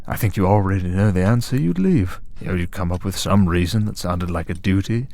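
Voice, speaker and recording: A man playing a smug, tempting servant of a dark master in an ASMR audio drama, speaking in a deliberate, taunting, persuasive way. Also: deep voice, vocal fry. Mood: coolly needling. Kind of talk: ASMR audio roleplay acting.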